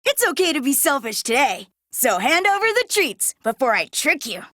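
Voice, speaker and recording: A bossy, mischievous fantasy game girl. She is demanding, with a high, lively voice in a dry recording. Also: normal speed, no echo. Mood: playfully threatening.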